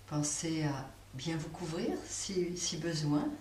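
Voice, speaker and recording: Woman yoga teacher, low-ish voice, very slow guided relaxation, distant roomy recording with faint hiss. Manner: slow, soft, pausing guidance.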